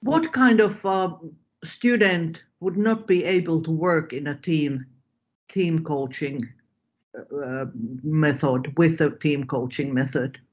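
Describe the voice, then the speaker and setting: A woman's voice: deep voice, slow pace, a little mellow. Slightly muffled woman moderating. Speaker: moderator, teacher. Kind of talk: informal webinar moderation. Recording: slightly dull webinar audio.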